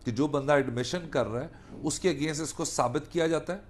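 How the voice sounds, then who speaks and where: Man, Pakistani accent, slightly raised pitch, didactic law lecture, English legal terms mixed in, points restated. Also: moderate pace. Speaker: law lecturer and defence attorney. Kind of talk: explanatory law lecture to a student listener.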